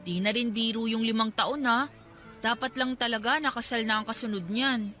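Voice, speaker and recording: A friendly young woman talks as if to an old pal in a radio drama. Her voice is bright and clear-cut, set over a faint music bed. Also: fairly high pitch, fairly even intonation, moderate pace. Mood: teasing. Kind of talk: Tagalog radio drama dialogue.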